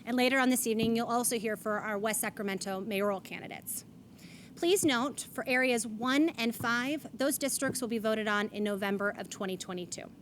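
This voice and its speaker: A woman's voice: on the high side, a little fast, a little hiss or hum. Woman moderating a forum. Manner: scripted, reads statements and rules aloud. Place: event stage.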